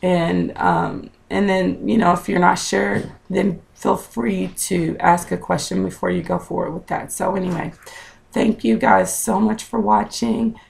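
A woman in her thirties with a raspy voice, chatting to viewers in a casual home vlog, recorded on a webcam indoors. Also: fairly low pitch, steady tone, unhurried, darkish tone. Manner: chatty, direct to viewers, with fillers. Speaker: vlogger. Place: small room at home, indoors.

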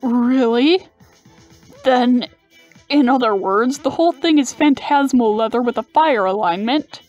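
A woman's voice: a little high, unhurried, darkish tone, muffled recording, soft background music, lightly acted. A woman voicing a stuffy, bemused middle-aged male commander in a fantasy game.

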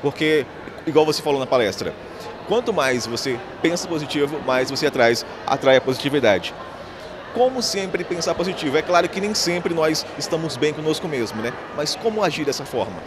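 A man's voice: on the high side, animated, a little fast, background noise. A Brazilian man working as a TV reporter, asking conversational interview questions. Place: indoor event venue. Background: music.

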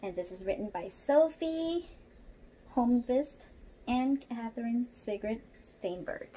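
A young woman reading a picture book aloud expressively at home, pausing to ask child listeners questions. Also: a little high, expressive, mellow, rough recording. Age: young adult. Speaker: storyteller for children. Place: small room at home, indoors.

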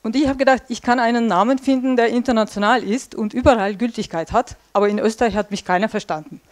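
A woman's voice: fairly high pitch, fairly even intonation, fast talker. A woman in her forties from Turkey, speaking, giving an informal conference talk in a small room on a headset mic, with many filler sounds, drawing on a flip chart as she speaks. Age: 40s. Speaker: speaker at a tech policy evening. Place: small dim event room indoors.

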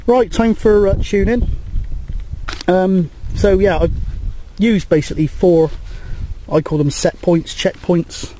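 A British man with a notably high voice talks through a hands-on demonstration in a casual, matter-of-fact way. He rambles a little and hesitates as he goes. He is recorded outdoors on a camera microphone, with clear background noise. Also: fairly even intonation, slow pace, long pauses, mellow, rough recording. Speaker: radio hobbyist presenter. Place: outdoors on a grassy coastal hilltop. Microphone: handheld camera microphone.

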